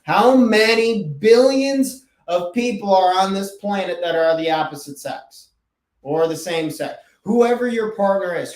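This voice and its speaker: An earnest man talks through motivational advice in a casual monologue, leaning hard on repeated words. His voice sits a little high. The room around him is echoey. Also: a little mellow. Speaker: podcast host and life coach.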